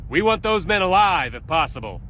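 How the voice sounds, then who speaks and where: An actor playing a brisk, blunt American Marine officer giving a businesslike slide briefing to his men in a video game. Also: high voice, very animated, pushed and bright, exaggerated voice. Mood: brisk, urgent. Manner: blunt, commanding. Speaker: military officer. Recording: clear background vehicle noise.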